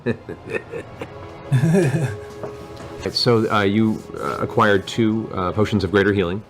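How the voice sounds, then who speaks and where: A man acting as game master, narrating a tabletop fantasy game in vivid, halting description. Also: on the low side, animated, over music.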